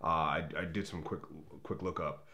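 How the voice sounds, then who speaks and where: A man's voice: low-pitched, steady tone, moderate pace, bright, forceful tone. A man hosting a movie review in a casual, unscripted way, rambling and opinionated, often backtracking as he talks.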